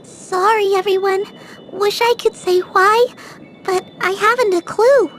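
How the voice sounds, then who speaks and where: A small, girlish companion from a fantasy game. Her voice is extremely high and expressive. She comes across as puzzled and anxious. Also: unhurried, slight background noise. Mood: worried. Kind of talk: video game voice acting.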